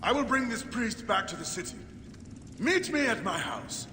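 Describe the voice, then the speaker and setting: Tahaka, high-pitched fervent Egyptian leader. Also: male voice, very animated, normal speed, pushed and bright, full character voice. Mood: scornful, resolute. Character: Tahaka, fervent proud male visionary leader in ancient Egypt. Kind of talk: dramatic video game acting. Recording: reverberant, echoing, with noisy ambience.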